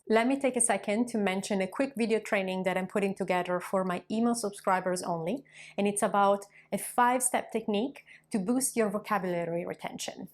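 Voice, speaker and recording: A woman, a language coach in her thirties, talking straight to her viewers in an encouraging, conversational way. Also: medium pitch. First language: Italian. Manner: warm, encouraging, conversational with occasional fillers. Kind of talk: direct-to-camera coaching talk.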